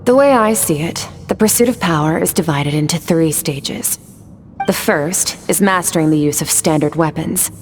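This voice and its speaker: A woman acts out a cool young swordswoman mentor from a video game. Her voice sits slightly low, with an aloof, matter-of-fact delivery. Music plays softly behind her detached instruction. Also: moderate pace, big-room sound, lightly acted. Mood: calm, instructive.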